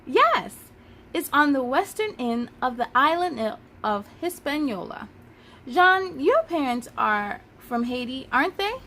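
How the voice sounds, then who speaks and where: An upbeat, patient schoolteacher from a children's storybook, acted by a woman, with a high voice that swings widely. She instructs with encouraging emphasis, over some background noise. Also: big pitch swings, measured pace, sharp tone, full character voice. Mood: bright, encouraging. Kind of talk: children's storybook read-aloud.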